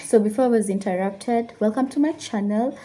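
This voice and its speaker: Mellow-voiced young woman vlogging. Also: medium pitch, brisk. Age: 20s. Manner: casual, rambling, mixes in Swahili phrases. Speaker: lifestyle YouTuber. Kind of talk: chatty get-ready-with-me talk while doing makeup. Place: indoors at home.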